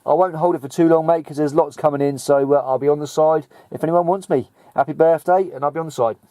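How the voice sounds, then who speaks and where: Male CB operator, brisk casual chat, soft dark tone. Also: fairly high pitch, fairly even intonation. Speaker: CB operator and video maker.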